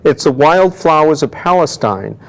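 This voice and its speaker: A man's voice: a little high, lively intonation, moderate pace, creaky, low-quality recording. A man speaking conversationally as an expert guest in an interview, explaining things with enthusiasm. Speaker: guest antiquarian book expert.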